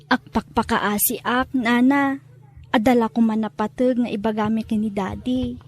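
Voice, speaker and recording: High, plaintive young granddaughter , played by a woman. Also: steady tone, normal speed. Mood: pleading. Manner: plaintive, respectful. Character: sad, polite, pleading young granddaughter. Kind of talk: Ilocano radio drama acting.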